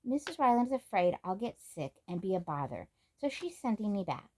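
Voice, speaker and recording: A woman voicing a gentle, bravely resigned young servant girl in a storybook. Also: mid-range voice, very animated, moderate pace, slight background noise, natural voice.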